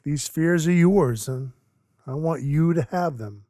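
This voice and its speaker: A man acting in an audio drama as an awkward, gruff but kindhearted Christmas dwarf who rambles earnestly and runs himself down. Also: a little high, unhurried, warm tone, no echo, studio quality, lightly acted. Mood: tender, hesitant. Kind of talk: audio drama acting with first-person narration. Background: music under the voice.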